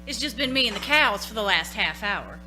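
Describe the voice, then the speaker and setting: A woman's voice: medium pitch, expressive, a little fast, pushed and bright. An actress playing a plucky young female hitchhiker in a 1940s-style radio play, talking in brash, slangy phrases. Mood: breezy. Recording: stage radio play with music.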